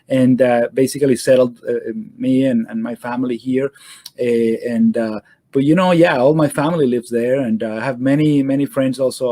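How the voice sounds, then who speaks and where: A middle-aged man from Venezuela, answering interview questions in a halting way, full of fillers and restarts, over a video call. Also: medium pitch, dark tone, no echo. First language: Spanish. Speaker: guest surgeon.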